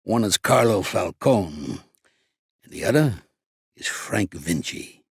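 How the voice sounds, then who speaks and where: An actor voicing a shrewd, gruff old American mobster for a video game, streetwise and blunt, with a gravelly, husky voice. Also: male voice, low-pitched, expressive, slow, no room sound, clean studio sound, heavily acted. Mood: confiding, measured. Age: older. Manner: streetwise, commanding, blunt, slangy. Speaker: mobster mentor and boxing coach. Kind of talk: video game character acting.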